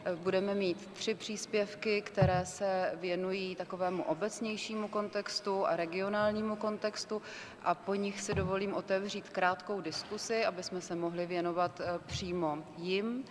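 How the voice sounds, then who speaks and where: A woman introduces a panel in a formal, hesitant way, often stalling on fillers. Her pitch is average. The hall rings around her voice, with faint noise behind. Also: fairly even intonation. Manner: hesitant, halting, with many fillers. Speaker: panel chair. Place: large ornate hall indoors. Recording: reverberant hall with background noise.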